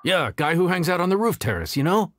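An actor playing a friendly, humble young inn chef in a video game, folksy and easily flustered. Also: male voice, high-pitched, sing-song, quick pace, fairly bright tone. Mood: confiding. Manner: affable, folksy, slightly nervous. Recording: game music behind the voice.

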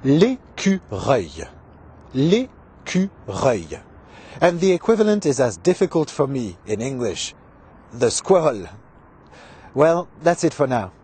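A middle-aged man presents to camera outdoors in a husky, breathy voice. He speaks slowly, and his pitch swings widely. Soft music sits behind him. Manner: slow, expressive. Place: outdoors by a hedge.

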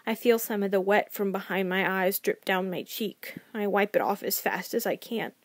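A woman's voice: mid-range voice, normal speed, dry recording, natural voice. A woman plays a sensitive young child telling a story in the first person. The delivery is naive, earnest and emotive, confiding in the listener. The sound is dry and close. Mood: earnest, determined.

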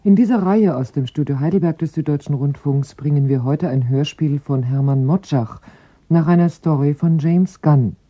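Measured radio announcer, husky tone. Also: male voice, fairly high pitch, dark tone, muffled recording. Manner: measured, formal. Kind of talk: formal radio introduction.

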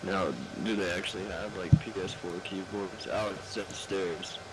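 Low-voiced man on noisy voice chat. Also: steady tone, normal speed. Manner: casual, heavy swearing. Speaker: gamer chatting with teammates. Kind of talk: casual chat. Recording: noisy voice chat, clear background noise.

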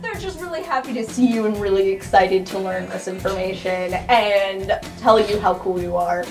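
A woman's voice: mid-range voice, normal speed, darkish tone, roomy sound, faint music behind. A woman player chatting casually during an improvised tabletop roleplay.

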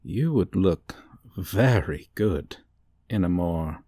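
A man playing a gloating, suave supervillain in a comedic ASMR roleplay, with a theatrical, teasing, drawn-out delivery. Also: low voice, lively intonation, warm tone, no echo, clean studio sound, heavily acted. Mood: triumphant, flirtatious.

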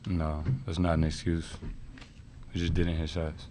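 Young college player, real deep voice, flat and matter-of-fact, short answers. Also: male voice, measured pace, a little hiss or hum. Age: young adult. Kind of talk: post-game press conference. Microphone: table mic.

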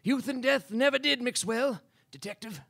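A man's voice: very high voice, moderate pace, creaky, a bit bright, husky, exaggerated voice. A man playing a solemn, sententious pastor with formal, old-fashioned manners in a comedy radio play. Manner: formal, archaic greetings.

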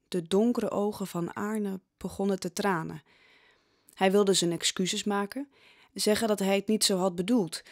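A woman's voice: mid-range voice, no room sound, studio quality. A woman narrating a scripted audio drama as a dramatic storyteller.

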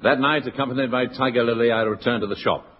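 A British man playing a deadpan adventurer in a 1960s radio comedy, telling his tale in measured, mock-dramatic fashion. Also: medium pitch, soft background music, close to an everyday voice. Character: deadpan male adventurer narrating his own detective tale. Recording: very noisy, dull 1960s radio recording.

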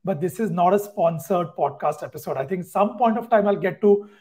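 A man in his thirties hosting a podcast interview from a room at home over a video call, speaking in a measured way. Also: high-pitched, expressive, warm tone. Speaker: podcast host. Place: home room indoors at night.

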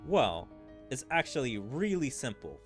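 A man voicing a grave young warrior prince, reading game dialogue in a measured, formal way. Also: mid-range voice, very animated, faint music behind.

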